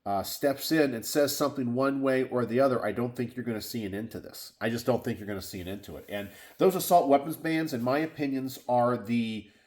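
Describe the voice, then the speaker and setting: A man's voice: medium pitch, fairly quick, few pauses, darkish tone. A middle-aged man from Texas, hosting his gun channel in a casual solo chat from his garage. He sounds stuffed up and sniffly and rambles, with many fillers and restarts. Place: home garage.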